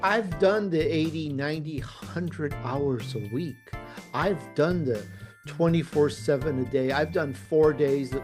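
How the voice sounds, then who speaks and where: A man's voice: on the high side, slow, over music. A middle-aged man with a light Chicago accent, a podcast guest telling his story over a video call in an earnest, emphatic way that repeats phrases. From Italy, raised in Chicago. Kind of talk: conversational interview storytelling.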